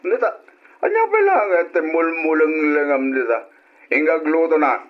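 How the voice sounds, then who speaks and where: A man's voice: on the high side, huge pitch swings, normal speed. A man acting an abrasive, bossy, hard-drinking husband and father in an old radio drama, scornful and barking orders. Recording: dull lo-fi radio drama recording.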